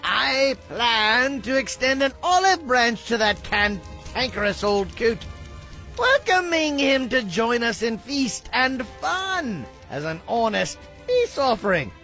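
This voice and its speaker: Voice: mid-range voice, big pitch swings, slow pace, pushed and bright, soft background music, full character voice. An actor voicing a kindly, dignified cartoon king with a formal, theatrical, courtly manner. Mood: earnest, conciliatory. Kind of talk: animated cartoon acting.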